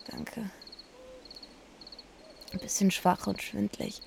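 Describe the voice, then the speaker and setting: An actress playing a weak, dazed but stubborn young woman in a German radio drama, speaking haltingly with a creaky voice. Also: mid-range voice, fairly even intonation, a little mellow, slight background noise. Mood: frail, shy.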